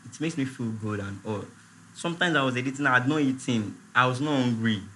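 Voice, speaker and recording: A man, chatting casually as a guest on a talk show, relaxed and repeating his words. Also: medium pitch, brisk, a little hiss or hum. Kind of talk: casual chat.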